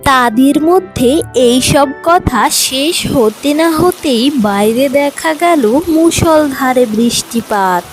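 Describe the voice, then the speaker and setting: A woman's voice: high-pitched, animated, takes it slowly, few pauses, soft background music. A woman reading scripted narration for a children's fairy tale as its storyteller.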